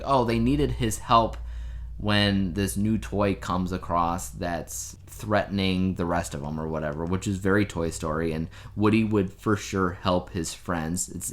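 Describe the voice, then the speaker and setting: A man co-hosting a podcast, chatting casually in a rambling, opinionated way about the news. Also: lowish voice. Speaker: podcast co-host.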